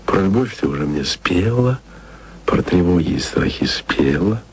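A man playing a wry, jealous, bookish man in a radio play, musing ironically and confiding quietly to his lover. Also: low-pitched, animated, measured pace, slightly rough, full character voice. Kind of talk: intimate radio drama monologue.

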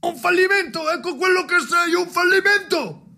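Harsh father shouting, strained and high. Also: moderate pace, gravelly, noisy background. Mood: furious contempt. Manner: high, forceful shouting, imperious. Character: harsh angry father, shouting contempt and orders. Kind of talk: avant-garde radio drama.

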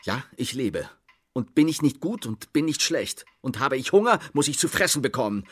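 An actor playing a bitter, defiant homeless brother in a German radio play, declamatory and brooding with sudden outbursts. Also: male voice, medium pitch, big pitch swings, normal speed, a bit bright, husky.

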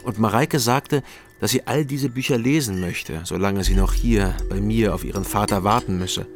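A man acting a guarded investigator in a radio crime drama. His voice sits low, and he speaks in a sober, understated, matter-of-fact manner. Music plays clearly behind him. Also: normal speed, natural voice. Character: guarded, sober East German male investigator and narrator. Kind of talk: radio crime drama, first-person narration and dialogue.

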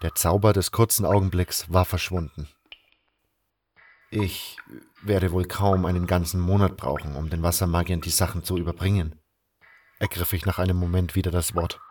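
A man's voice: sits low, fairly even intonation, moderate pace, darkish tone, faint music behind, lightly acted. A man playing an earnest, brooding adventurer who tells his own story in a fan audio drama. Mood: wistful. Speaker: narrator and lead actor in a fan audio drama. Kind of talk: first-person dramatic narration and dialogue.

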